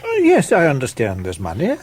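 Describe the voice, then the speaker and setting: An actor playing a genteel middle-aged Victorian lawyer in a radio drama, with an English accent and a hesitant, fussy, pompous manner. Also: male voice, mid-range voice, wildly swooping pitch, fairly quick, darkish tone, breathy edge. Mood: genteel, flustered.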